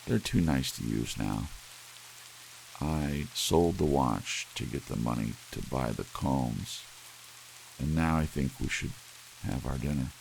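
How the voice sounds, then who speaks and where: A man voicing a quiet, tender young husband, slow and gentle, in a soft ASMR storybook reading. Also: very deep voice, creaky, full character voice, rough recording. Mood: tender, resigned. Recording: audible hiss under steady rain sounds. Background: soft rain.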